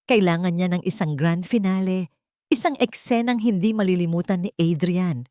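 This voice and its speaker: A woman's voice: lowish voice, normal speed, dark tone, no room sound, clean studio sound. A woman narrating a scripted romantic drama with emotive, melodramatic storytelling. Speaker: narrator of audio love stories.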